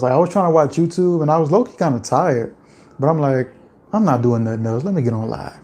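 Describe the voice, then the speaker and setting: A man from Louisiana with a Louisiana accent, chatting casually on a livestream and reading out viewer comments in a relaxed, rambling way. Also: medium pitch. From Louisiana, USA. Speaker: livestream host.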